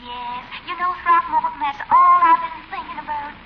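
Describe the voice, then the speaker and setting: An actress playing a flirtatious, sentimental belle in a 1940s radio comedy. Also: female voice, very high voice, normal speed. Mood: coy, sentimental. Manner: coy, flirtatious, gushing. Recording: muffled, noisy 1940s radio recording.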